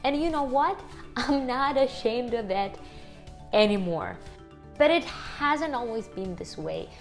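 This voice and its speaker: A woman with a strong Ukrainian accent chats to her viewers from her home kitchen. Her voice sits a touch high and her pace is slow and unhurried, with laughs slipping in. Soft music plays faintly behind her. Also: expressive. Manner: chatty and upbeat, laughing often, addressing viewers as guys. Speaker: YouTuber. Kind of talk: casual talk to camera.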